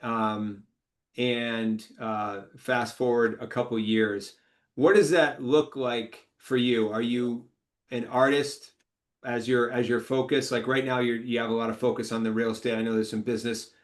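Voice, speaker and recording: Guy hosting a podcast, regular pitch, lots of um and repeated words as he asks stuff. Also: fairly even intonation. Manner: halting, many repeated words and fillers. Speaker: podcast host. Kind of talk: casual interview questions.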